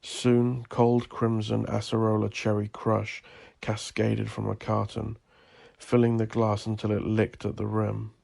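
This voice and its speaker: A man narrating dark horror fiction in a deliberate, literary storytelling manner. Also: fairly low pitch, completely flat delivery, creaky.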